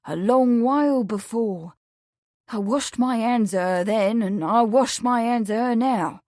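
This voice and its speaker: An awkward but earnest young manservant, read by a woman narrator in a voice close to her own. He speaks firmly and emphatically in rough, ungrammatical working speech. The recording is dry and close. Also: mid-range voice, a little slow, warm tone, no echo.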